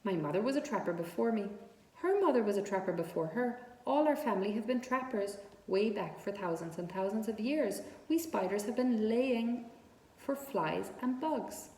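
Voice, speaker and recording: A woman's voice: mid-range voice, expressive, normal speed, darkish tone, distant mic, close to an everyday voice. Storybook spider, female, acted by a woman: genteel, frank, matter-of-fact, thin pleasant tone, echoing room. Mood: frank, firm. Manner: matter-of-fact, precise, unapologetic.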